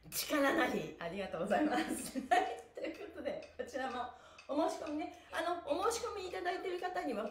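Female exercise host, middle-aged, very lively intonation, upbeat and encouraging, recorded in a roomy, echoey space. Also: high voice. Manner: animated, cheerful, encouraging, often laughing. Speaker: stretching instructor and pantomime teacher, live-stream host. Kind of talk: upbeat live exercise lesson, chanting cues and chatting to viewers. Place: small bare room with wooden floor, indoors. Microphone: device mic a few steps away. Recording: echoey room, mic at a distance.

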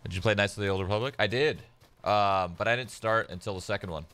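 A man's voice: on the low side, fast, a bit bright, clean tone. A young man whose first language is Polish, chatting casually as a game streamer at home, laid-back and rambling with lots of "like" fillers. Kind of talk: casual chat while gaming.

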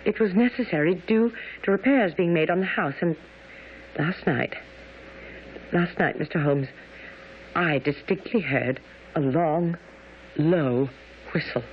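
A woman's voice: fairly low pitch, measured pace, pauses often, lightly acted. Woman acting fearful young Victorian lady, dramatic, earnest, radio play, muffled sound, faint noise. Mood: fearful, distressed. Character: frightened, distressed young Victorian lady client. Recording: dull, noisy old radio broadcast.

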